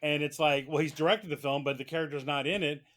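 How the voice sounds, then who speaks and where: Male podcast panelist, slightly high voice, joking, argumentative, casual chat. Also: quick pace, a bit bright.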